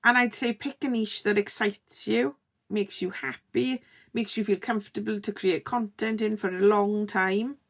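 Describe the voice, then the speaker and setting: A middle-aged woman with a strong Welsh accent hosts her own channel and talks straight to the viewer. Her voice sits at an ordinary, mid pitch. She sounds encouraging and chatty, often checking in with a friendly "okay?". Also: fairly bright tone. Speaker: YouTube channel host. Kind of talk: direct-to-camera tips video.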